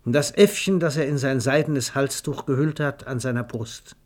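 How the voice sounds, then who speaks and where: A man's voice: medium pitch, normal speed, lightly acted. A man narrating a scripted literary radio drama in a precise, dry, wry manner. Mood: measured, wry.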